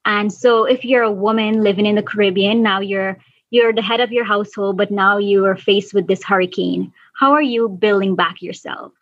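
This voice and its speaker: A woman's voice: mid-range voice, steady tone, moderate pace, fairly bright tone, no room sound. Woman from Guyana, thirties, earnest, a bit muffled, dry. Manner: earnest, thinks aloud with restarts. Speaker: guest, environmental officer. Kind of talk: casual interview answers.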